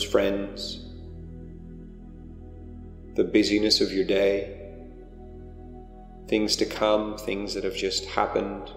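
A man leading a guided meditation, giving unhurried, hushed instructions with pauses between phrases. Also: lowish voice, vocal fry. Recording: long echoing reverb, music underneath. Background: music.

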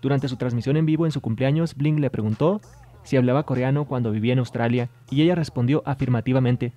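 A man's voice: mid-range voice, fast talker, dark tone. A man hosting a scripted entertainment news show, reading reports and translated quotes in an upbeat announcer manner.

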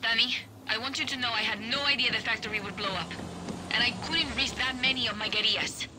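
A woman's voice: on the high side, fast talker, noisy background, natural voice. An actress playing a blunt, profane female guerrilla hacker. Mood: subdued. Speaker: guerrilla hacker guiding an operative. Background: game soundtrack music.

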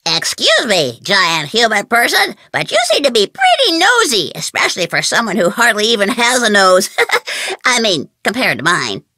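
Bright, swooping, cheeky teddy bear. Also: female voice, mid-range voice, huge pitch swings, normal speed, no echo, full character voice. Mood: teasing. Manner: teasing, exaggerated.

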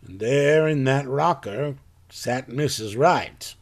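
A slow-talking folksy farmer. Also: male voice, medium pitch. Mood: uneasy recounting. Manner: folksy, hesitant, halting storytelling. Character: plain-spoken rural farmer, a witness recounting events. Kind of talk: live audio stage drama.

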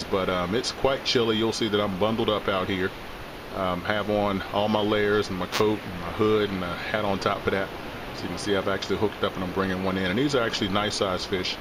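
A middle-aged man narrating a casual fishing how-to in an easygoing, folksy way. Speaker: host of a fishing channel. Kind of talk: casual instructional vlog narration. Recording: loud steady background hiss and rumble.

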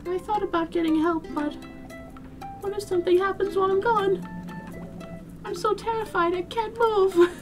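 A timid young village woman, acted out by a woman playing a game, very high and fretful. She sounds frightened and flustered, with faint music behind. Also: fairly even intonation, moderate pace, pauses often. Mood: panicked. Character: timid, panicked, embarrassed young female villager.

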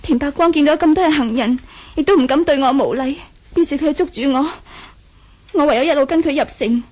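A woman's voice: sits high, fairly quick, darkish tone, natural voice. A woman acting in a Cantonese radio drama from Hong Kong, voicing a timid, earnest, naive young nun. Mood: sorrowful recounting. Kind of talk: 1980s martial-arts radio drama acting.

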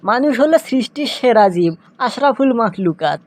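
A man's voice: extremely high pitch, expressive, moderate pace, no room sound. A man with a Bogura accent from northern Bangladesh voicing a cheeky, naive young pupil in a comic cartoon, addressing his teacher. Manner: argumentative, puzzled, addresses teacher respectfully.

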